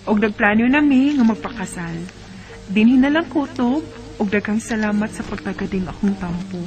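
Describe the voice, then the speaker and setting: A woman's voice: mid-range voice, moderate pace, lightly acted. A woman acting a sweet, hesitant young college student in love in a Filipino Bisaya radio drama. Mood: sincere narration.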